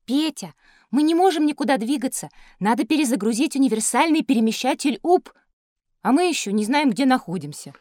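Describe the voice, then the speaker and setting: A woman's voice: sits high, animated, brisk, darkish tone, dry recording, studio quality. A woman voicing a sensible, clever schoolgirl in an educational audio drama for children. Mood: practical. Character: sensible, clever schoolgirl, gently lecturing her friend.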